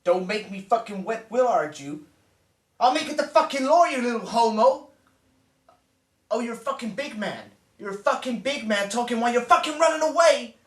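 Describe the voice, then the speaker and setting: A man playing a profane, bullying spoiled son of a crime boss, in a dark comic drama. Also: very high voice, expressive, a little fast. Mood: angry, taunting. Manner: profane, aggressive, ranting. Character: foul-mouthed bullying spoiled heir of a crime boss father. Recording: roomy echo, distant microphone.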